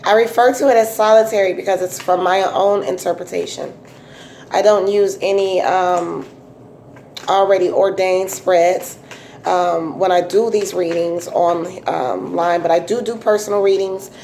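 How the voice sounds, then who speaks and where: A woman with a raspy voice giving a casual, chatty tarot reading, full of fillers and restarts. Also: on the low side, steady tone, unhurried, darkish tone, slight background noise. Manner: chatty, repetitive, many um fillers. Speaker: tarot reader.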